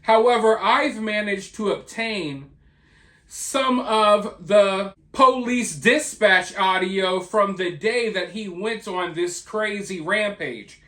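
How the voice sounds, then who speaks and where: The recording is roomy and echoing. An opinionated true crime host speaks at a slow pace, leaning on words and pausing for drama. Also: mid-range voice, bright, forceful tone.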